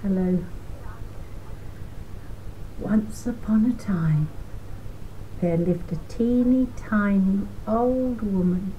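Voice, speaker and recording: An older woman reading a children's storybook aloud in a deliberate, gently emphatic way. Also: medium pitch, lo-fi sound, a little hiss or hum.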